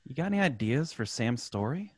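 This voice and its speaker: A man's voice: mid-range voice, very animated, brisk, over loud music, close to an everyday voice. An actor playing a wry, teasing male pal in an old time radio drama. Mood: casual.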